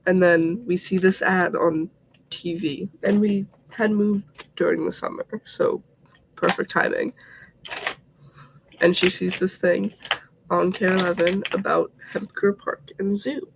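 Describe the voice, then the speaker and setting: Congested young man, high and gravelly. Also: fairly even intonation, unhurried, a little hiss or hum, rough recording. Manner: chatty and rambling, often dropping to a whisper. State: congested, sick. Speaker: vlogger. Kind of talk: casual get-ready-with-me livestream chat.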